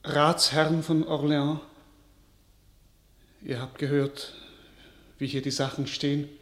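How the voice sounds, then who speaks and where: A man's voice: fairly high pitch, flat delivery, measured pace, fairly bright tone, echoey room. An actor playing a despairing, weary young king in a classical verse radio drama, declaiming solemnly.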